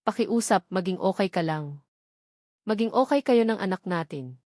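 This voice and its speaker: A woman's voice: mid-range voice, animated, normal speed, darkish tone, dry recording, studio quality, lightly acted. Woman voicing an anguished, pleading Filipino husband. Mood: pleading, remorseful. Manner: trembling, pleading. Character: anguished young billionaire husband, guilt-ridden and urgent.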